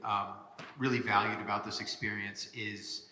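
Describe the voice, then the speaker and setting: A man with an American accent giving an informal public presentation, halting at times and repeating words for emphasis. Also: fairly low pitch, little pitch movement, pushed and bright. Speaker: city mayor presenting. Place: presentation hall before an audience. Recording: echoey hall, long reverb.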